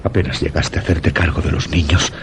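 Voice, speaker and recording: An actor playing an obsessive, unhinged widowed master of a house in a suspense radio drama, courteous yet intense. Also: male voice, extremely low pitch, flat delivery, a little fast, a little hiss or hum. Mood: tormented, obsessive. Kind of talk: Spanish-language suspense radio drama acting.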